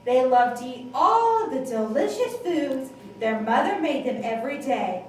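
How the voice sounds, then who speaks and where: A woman's voice: high-pitched, lively intonation, measured pace. A woman narrating a scripted children's story as the storyteller of a puppet show. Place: library room. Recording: distant, very echoey room, faint noise.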